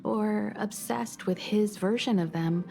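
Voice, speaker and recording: A woman voicing a calm, wise, thoughtful mentor in a video game, speaking in a measured, philosophical way. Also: mid-range voice, vocal fry. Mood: musing. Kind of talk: video game character dialogue. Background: faint game music.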